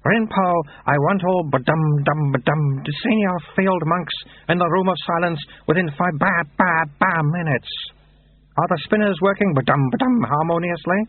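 A man's voice: on the high side, moderate pace, no room sound, phone-quality sound, pitched above the performer's own voice, natural voice. A man voicing a babyish abbot in an audiobook story, speaking with formal authority and given to infantile burbling. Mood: burbling, businesslike. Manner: formal speech broken by baby babble. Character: babyish abbot, burbling nonsense amid solemn orders.

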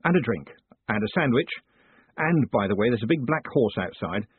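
A man voicing a brash, smooth-tongued postmaster in an audiobook, talking in curt, bossy bursts. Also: mid-range voice, animated, normal speed, no echo. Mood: brusque, impatient. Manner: curt orders in clipped bursts. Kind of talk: audiobook character dialogue. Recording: muffled, thin phone-like sound.

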